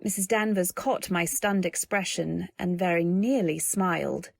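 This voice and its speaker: A woman's voice: lowish voice, measured pace, vocal fry, no echo. Woman acting a timid young wife, close-miked. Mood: uneasy, narrating. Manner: hesitant, gentle, polite. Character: timid, earnest young new wife narrating her tale. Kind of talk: old-time radio drama, first-person narration and dialogue.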